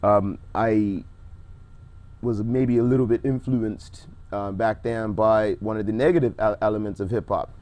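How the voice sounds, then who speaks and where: Man, young adult, baritone, low voice, reflective guest chat. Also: fairly even intonation, a little slow, a little mellow. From Bermuda. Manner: reflective, rambling with restarts. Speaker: guest rapper and MC. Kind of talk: casual interview chat.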